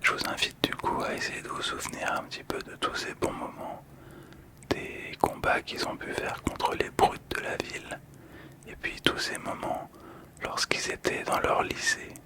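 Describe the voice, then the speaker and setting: A man hosting ASMR in a breathy whisper, speaking gently and soothingly with pauses, close to the microphone. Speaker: ASMR video host. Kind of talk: whispered ASMR card description. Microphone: close ASMR microphone, monitored on headphones.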